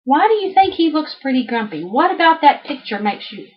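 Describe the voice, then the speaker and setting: Female read-aloud teacher, Southern US accent, on the higher side, questioning and echoing pupils, faint background noise. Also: sing-song, a little fast, dull audio. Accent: Southern American. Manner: repeats children's answers back, prompting. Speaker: teacher reading aloud to children.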